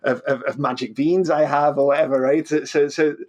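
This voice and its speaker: A man's voice: fairly high pitch, steady tone, fairly quick, darkish tone. A man with a British accent explains ideas informally as an interview guest, often repeating words and restarting his sentences. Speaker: podcast guest, physicist and author.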